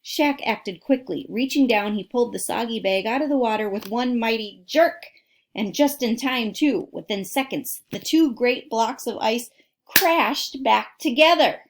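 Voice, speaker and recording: Woman reading a story aloud, teacherly and expressive. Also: on the high side, unhurried. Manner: dramatic emphasis, addresses listeners directly. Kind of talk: expressive read-aloud of a children's nonfiction story with asides. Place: at home.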